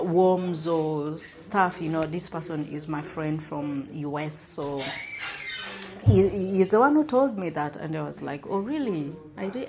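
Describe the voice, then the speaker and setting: A young woman from Uganda talking casually to her viewers about her travels, with lots of fillers and asides to "guys". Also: low-pitched. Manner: chatty, many fillers, addresses viewers as guys. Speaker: travel vlogger. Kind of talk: casual chat to camera.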